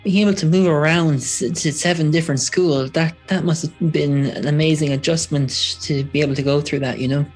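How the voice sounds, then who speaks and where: A man hosts a podcast with a casual interviewing style. His voice sits fairly high for a man, and faint music is heard in the recording. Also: fairly even intonation, fairly quick, few pauses, slightly rough. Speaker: podcast host.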